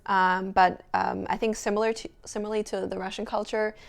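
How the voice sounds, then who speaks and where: A woman in her twenties from southern Germany chats as a podcast guest. Her mid-pitched voice has a lot of gravelly vocal fry, and she searches for words with plenty of "um" and "like". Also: flat delivery, a little fast. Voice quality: creaky. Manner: hesitant, many fillers and restarts. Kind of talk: casual chat.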